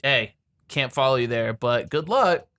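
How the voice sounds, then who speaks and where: A man's voice: medium pitch, lively intonation, unhurried, fairly bright tone. A man co-hosting a casual comedic chat about movies, ranting in mock exasperation. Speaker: podcast co-host.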